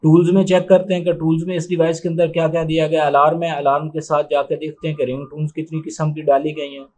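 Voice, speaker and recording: A man's voice: fairly high pitch, level tone, fast, darkish tone, slight background noise. A man doing an unscripted gadget unboxing and review, explaining step by step in a methodical, matter-of-fact way. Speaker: tech reviewer presenting a phone unboxing. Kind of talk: unscripted step-by-step product demonstration.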